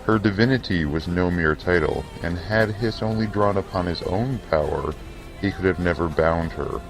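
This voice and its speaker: A man reading scripted fantasy narration for an audio drama in a solemn, measured, theatrical way. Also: low voice, faint music behind, rough recording.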